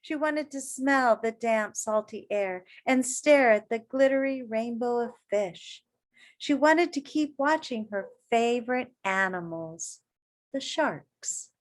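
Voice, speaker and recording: A woman teacher reading a picture book aloud to children in an expressive way, pausing to ask her young listeners questions. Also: on the high side.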